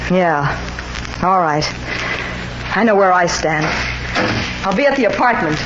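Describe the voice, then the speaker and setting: An actress playing a proud, sharp-tongued young woman in a 1940s radio drama, snapping back indignantly. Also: lowish voice, animated, unhurried, highs cut off. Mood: resigned. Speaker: boxer's girlfriend. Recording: scratchy old radio recording, loud hiss, roomy.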